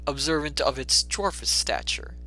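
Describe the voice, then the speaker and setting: A man's voice: on the high side, moderate pace, pushed and bright, low-quality recording. A man narrating a classic story in a dramatic audiobook style.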